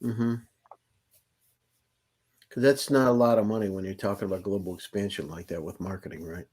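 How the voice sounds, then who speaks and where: A man with a fairly low voice questions a startup founder on a pitch panel. He is blunt and pressing, firing direct questions and challenging the founder's numbers. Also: fairly even intonation, normal speed, a little mellow, breathy edge. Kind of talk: probing business questions.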